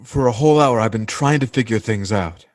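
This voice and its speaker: A plain-spoken North American working man from a 1940s radio drama, played by a male actor. He gropes for words in slangy, halting phrases, earnest and indignant about the rich. The sound is dry and close. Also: lowish voice, dry recording, lightly acted. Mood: halting, unsure.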